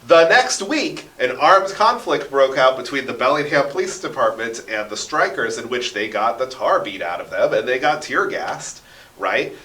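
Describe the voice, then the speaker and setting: Man, bright forceful voice, medium pitch, emphatic conversational storyteller, echoey studio, a bit off the mic. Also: animated, normal speed, recorded from a distance. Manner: emphatic, often checks in with "right?". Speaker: interview guest, radio drama producer and local history enthusiast. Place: radio studio. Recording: roomy, echoing, away from the mic.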